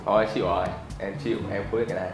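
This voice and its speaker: A man's voice: on the low side, steady tone, racing. A man, chatting casually as a contestant in a guessing game. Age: 20s. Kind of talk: casual chat. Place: indoor studio, seated at a laptop. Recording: roomy echo, loud music behind.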